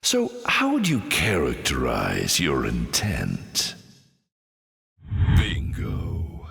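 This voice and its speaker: Very deep, suave demon lord. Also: male voice, huge pitch swings, very slow, gravelly, a bit bright, soft background music, full character voice. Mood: taunting, playful. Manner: mock-courteous, sly, grandiloquent. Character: suave, sardonic male demon lord, theatrical tempter. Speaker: arena master taunting the player. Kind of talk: theatrical video game villain acting. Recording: echoey hall reverb.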